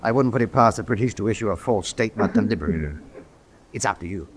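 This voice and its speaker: An actor playing a loyal German officer in a radio drama, reasoning firmly with a colleague. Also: male voice, fairly low pitch, lively intonation, fast, close to an everyday voice. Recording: faint background noise.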